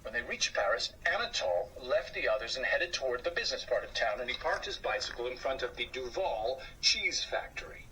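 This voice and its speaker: A man reading a children's story aloud as host, with an expressive, theatrical, drawn-out delivery. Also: mid-range voice. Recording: slightly distant mic, faint noise.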